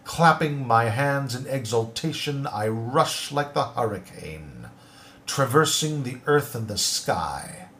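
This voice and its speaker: A man reciting verse at a slow, deliberate pace, every line weighed out. His delivery is declamatory and theatrical, rising to bold proclamations and sinking to near murmurs. His pitch sits in a middle register. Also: expressive, a little hiss or hum. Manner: declamatory, swings between loud and hushed. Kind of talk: dramatic poetry recitation.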